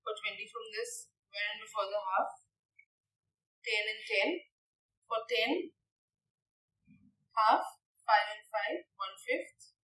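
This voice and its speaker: A woman's voice: a little high, slow pace, long pauses, pushed and bright. Woman chef teaching in an echoey kitchen. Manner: instructive, step-by-step, frequent "okay" and "right". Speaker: chef instructor. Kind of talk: cooking demonstration. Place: large teaching kitchen, indoors. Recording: echoey kitchen, distant from mic.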